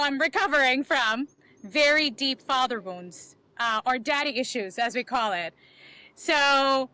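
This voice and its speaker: Bright, crisp woman. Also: high-pitched, no room sound, poor audio. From Bangladesh. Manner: reflective, frequent um fillers. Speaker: podcast guest and life coach. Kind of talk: candid personal storytelling.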